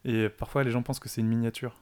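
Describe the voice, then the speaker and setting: A man's voice: mid-range voice, little pitch movement, fast, darkish tone, clean tone. A man chatting casually as a guest, hesitant, with many fillers and restarts. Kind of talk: casual chat.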